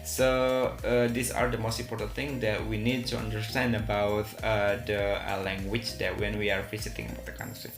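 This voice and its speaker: A man's voice: on the low side, flat delivery, moderate pace, vocal fry, hardly any pauses. A man with an Indonesian accent, a native Indonesian speaker, talking through IELTS speaking answers with many hesitant fillers. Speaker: English teacher. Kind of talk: IELTS speaking practice monologue. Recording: echoey room with faint music.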